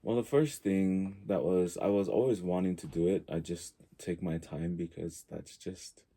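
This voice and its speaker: Very deep, dark-voiced man. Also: steady tone, normal speed, husky. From Tonga. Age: early 40s. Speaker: podcast guest. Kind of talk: casual reflective conversation.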